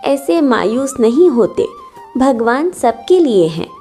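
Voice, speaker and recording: A woman's voice: high voice, sing-song, slow, warm tone, faint music behind, heavily acted. A woman voicing a kindly, devout old mother who consoles in a children's cartoon story. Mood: consoling.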